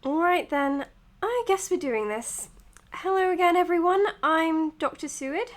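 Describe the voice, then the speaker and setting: A woman's voice: extremely high pitch, animated, a little slow. A woman acting in an audio drama as an earnest, matter-of-fact psychologist giving her case notes. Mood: reluctant, amused. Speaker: doctor. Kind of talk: audio drama, recorded clinical log.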